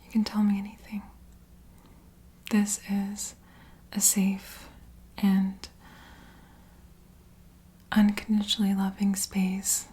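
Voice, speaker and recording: A woman whispers slowly in a very breathy, soft voice. She sounds soothing and reassuring, speaking as an ASMR host in a gentle roleplay. Her delivery stays mellow and unhurried throughout. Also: medium pitch, monotone.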